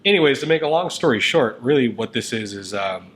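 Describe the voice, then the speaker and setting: A man's voice: medium pitch, lively intonation, a little fast, fairly bright tone. A man co-hosting a show in casual conversation, talking in a rambling, informal way. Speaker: show co-host. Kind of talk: casual chat. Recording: noticeable background noise.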